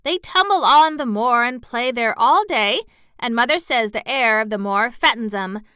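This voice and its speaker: A woman doing a young Yorkshire maid, broad dialect, talks fast and freely, raised and bright, good-tempered, dry close sound. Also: on the high side, animated, smooth, no room sound. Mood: chatty. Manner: broad dialect, rattling and outspoken.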